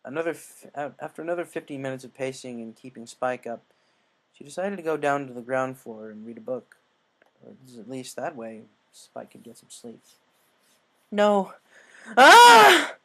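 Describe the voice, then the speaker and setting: A man reading a scripted story as narrator, in a measured way with occasional stumbles. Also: medium pitch, slight background noise, poor audio. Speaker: story reader.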